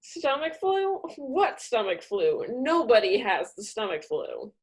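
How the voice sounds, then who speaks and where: Sassy female best friend woman acting, brassy, blunt, high and very animated, radio play comedy. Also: slow pace. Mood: sassy, skeptical.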